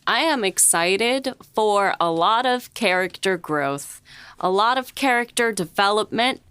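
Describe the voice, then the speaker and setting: A woman's voice: mid-range voice, animated, a little slow, a bit bright, smooth, no echo. A woman co-hosting a casual panel chat, earnest and enthusiastic as she talks. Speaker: podcast co-host and series writer.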